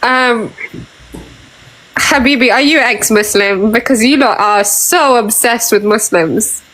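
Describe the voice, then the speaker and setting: A woman calling into a debate stream over a video call, sassy and teasing and quick to laugh. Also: a little high, fairly bright tone, a little hiss or hum, rough recording. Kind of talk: casual chat.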